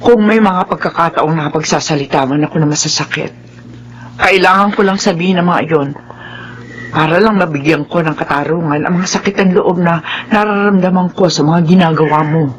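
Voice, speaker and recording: An actress playing a long-suffering, devout wife in a Filipino radio drama, gentle, earnest and measured. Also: female voice, lowish voice, highs cut off, natural voice. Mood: earnest, forgiving.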